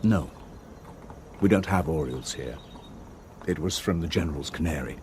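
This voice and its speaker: A man's voice: rumbling low, moderate pace, darkish tone, a little hiss or hum. An actor playing a sardonic Englishman in a radio drama, dry and mocking. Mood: teasing. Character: sardonic Englishman, the estate agent.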